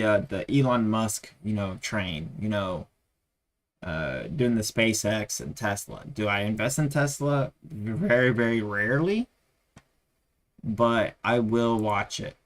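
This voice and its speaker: A man's voice: lowish voice, animated, takes it slowly. A tired man losing his voice, rambling with many fillers as he chats casually on a live trading stream.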